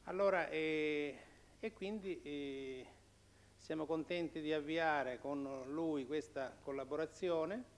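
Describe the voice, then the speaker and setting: A man's voice: a little high, measured pace. A man in his fifties chairing a conference, introducing a speaker in a hesitant manner with fillers and dry humor. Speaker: congress chair. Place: conference room. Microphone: table microphone.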